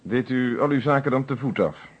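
An actor playing a firm, probing police inspector who questions with formal politeness in a radio play. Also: male voice, mid-range voice, normal speed, husky, natural voice. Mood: probing, controlled. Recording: dull old radio recording.

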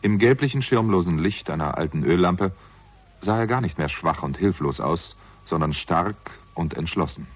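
An actor playing a brooding first-person narrator in a German radio crime drama, reading scripted narration gravely and atmospherically. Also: male voice, low voice, expressive, moderate pace, no room sound. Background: music.

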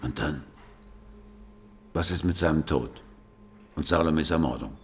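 A police colleague in a crime radio play. He speaks tersely in an extremely deep voice. There is faint ambient noise behind him. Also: a little slow. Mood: terse, questioning. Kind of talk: German radio drama acting.

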